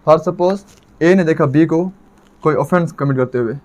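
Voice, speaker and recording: Higher-voiced Pakistani man teaching law. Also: unhurried, darkish tone. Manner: didactic, repeats points and asks himself questions. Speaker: law lecturer. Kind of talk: explanatory exam lecture.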